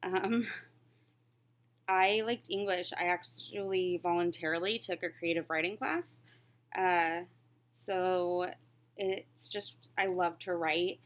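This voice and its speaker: A woman in her late twenties with a slightly low voice, talking in a casual vlog style. She rambles through answers in a candid, reflective way, like someone chatting while working on a craft. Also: fairly even intonation, slow pace. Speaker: crafting vlogger. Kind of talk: casual chat, answering questions while stitching.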